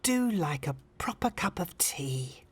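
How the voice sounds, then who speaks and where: A woman's voice: fairly low pitch, sing-song, slow pace, husky, exaggerated voice. A woman playing a genteel, subtly manipulative committee woman in a radio soap, prim and pointed. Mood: prim, pushy. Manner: prim, pointed tag questions.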